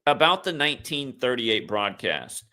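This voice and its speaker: A man's voice: mid-range voice, sing-song, normal speed, bright, forceful tone. An American man hosting an online commentary, speaking emphatically and insistently as he explains and reads aloud, stressing key phrases. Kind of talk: conspiracy commentary, reading articles aloud.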